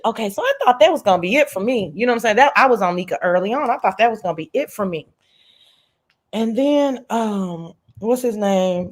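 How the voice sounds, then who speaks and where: A woman chatting casually as a livestream host, telling gossip in a slangy, profane, animated way and often wandering off on tangents. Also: medium pitch.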